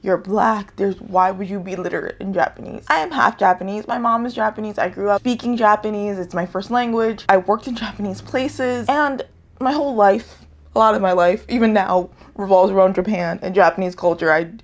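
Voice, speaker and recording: Young woman with a gravelly, rambling chat. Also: medium pitch, brisk. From United States. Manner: rambling, self-correcting, laughs often. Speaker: vlogger. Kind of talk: casual vlog chat.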